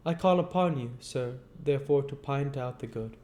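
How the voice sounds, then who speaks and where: A man's voice: medium pitch, normal speed, darkish tone, voice pushed up, lightly acted. A man voicing a kindly, uneducated country man from a novel, earnest and argumentative, with rustic, emphatic phrasing. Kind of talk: audiobook character voice.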